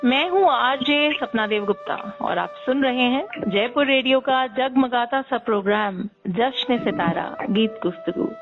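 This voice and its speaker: A woman's voice: on the high side, expressive, unhurried, hardly any pauses. A woman hosting a radio interview, polished, often affirming her guest. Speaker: radio host. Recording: tinny phone-like radio sound, faint music.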